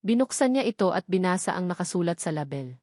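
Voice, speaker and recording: A woman's voice: on the low side, expressive, fast, darkish tone, dry recording. Filipino woman narrating romance, dry sound. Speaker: story narrator. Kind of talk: dramatic scripted narration of a romance story.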